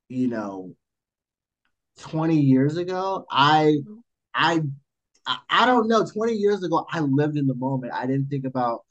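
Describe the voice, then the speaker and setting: Man, forties, mid-pitched, emphatic, repetitive, casual podcast talk. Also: highs cut off. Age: 40s. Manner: animated, emphatic, repeats himself. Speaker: podcast co-host. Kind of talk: casual chat.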